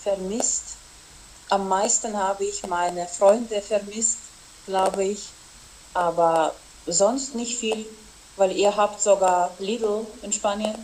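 A woman's voice: medium pitch, steady tone, takes it slowly, darkish tone, a little hiss or hum, rough recording. Young woman with a Russian first language. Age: young adult. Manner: hesitant, with frequent fillers. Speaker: interview guest, exchange student. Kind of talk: casual interview answers.

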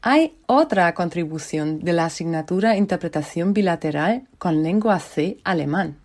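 A woman hosting a student program, reading a scripted introduction in two languages with a lively announcing tone. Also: mid-range voice. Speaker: program host.

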